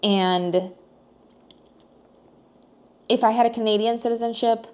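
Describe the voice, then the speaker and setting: A woman's voice: a little high, fairly even intonation, slow pace, a little mellow. An American young woman talking casually to camera in a personal vlog, thinking aloud with many fillers and restarts. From United States. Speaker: vlogger.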